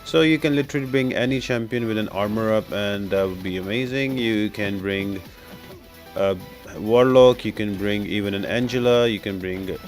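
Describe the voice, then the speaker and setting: Man, low voice, gaming guide host, instructional, talks straight to viewers, music behind. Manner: instructional, addressing viewers directly. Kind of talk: scripted-sounding game guide commentary.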